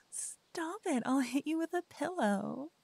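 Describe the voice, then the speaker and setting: A woman voicing a cocky, playful lover who whispers and teases softly in an ASMR roleplay. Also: sits high, gravelly, no room sound. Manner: playful, teasing, soft whispering. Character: cocky playful young woman, a devoted lover waking her partner. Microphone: binaural mic.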